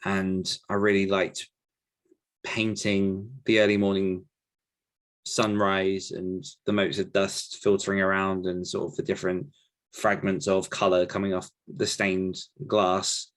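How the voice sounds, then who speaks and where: Low-pitched man, thoughtful and halting. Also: level tone. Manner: thoughtful, halting, searching for words. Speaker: podcast guest author answering questions. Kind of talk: casual reflective interview talk.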